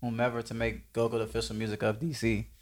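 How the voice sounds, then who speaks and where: A man hosts a casual podcast at a brisk clip. His speech is informal and full of slang, in an easy conversational style, with a mid-pitched male voice. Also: little pitch movement, slight background noise. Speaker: podcast host.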